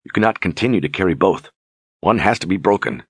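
A man voicing a calm, steadfast young lord who speaks gently and reassuringly. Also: deep voice, fast, breathy edge, dry recording. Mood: firm.

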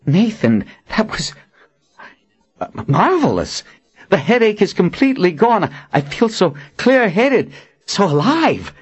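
A man's voice: high voice, sing-song, takes it slowly, breathy edge, pitched above the performer's own voice, heavily acted. A man voicing an earnest, slightly hesitant young wizard in an audiobook. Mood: relieved, elated. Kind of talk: audiobook character acting.

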